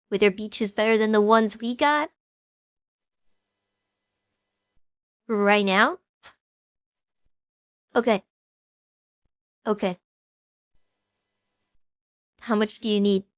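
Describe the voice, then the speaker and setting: A woman playing a gentle, apologetic young woman in an audio drama, soft-spoken and hesitant, often trailing off. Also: mid-range voice, steady tone, slow, pauses often, clean tone. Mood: affectionate, subdued. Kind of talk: animatic audio drama acting, naturalistic dialogue.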